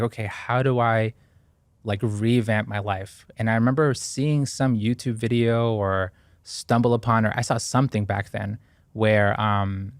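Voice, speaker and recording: A man's voice: lowish voice, no room sound. A man in his thirties from Ecuador, a podcast guest talking earnestly and thoughtfully about his past, with frequent fillers and restarts. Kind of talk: reflective interview talk.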